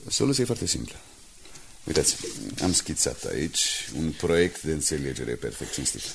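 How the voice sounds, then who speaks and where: Male blackmailer, extremely deep creaky voice, formal, falsely polite, deliberate, radio drama. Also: big pitch swings, fairly bright tone, slight background noise, heavily acted. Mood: smoothly persuasive. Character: smooth-talking, falsely apologetic male blackmailer.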